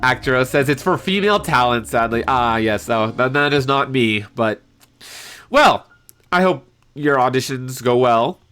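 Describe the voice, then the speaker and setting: A man chatting casually on a live stream in an animated, hesitant way, reading out and answering viewer comments. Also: mid-range voice, measured pace, smooth. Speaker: livestreamer and amateur voice actor. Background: piano background music.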